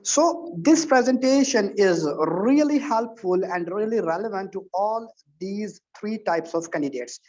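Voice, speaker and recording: A man's voice: high-pitched, animated, measured pace, a little mellow. A man tutoring exam candidates in an online session, lecturing earnestly with frequent repetitions and restarts. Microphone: video call. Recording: dull lo-fi online call audio.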